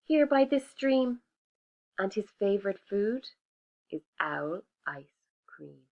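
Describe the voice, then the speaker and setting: A woman's voice: very animated, takes it slowly. A woman voicing a small, clever brown mouse in a children's storybook, sweet and polite, on a dull lo-fi recording. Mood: polite, cheeky. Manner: sweet, polite, high and bright.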